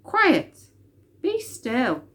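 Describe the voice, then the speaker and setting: Lady doing a storybook teacher guy, calm but in charge, simple direct words, lively pitch. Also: extremely slow, exaggerated voice. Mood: commanding.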